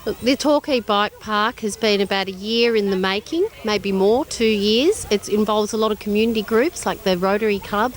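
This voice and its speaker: Confident Australian woman official. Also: medium pitch. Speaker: mayor interviewed. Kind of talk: news interview answer.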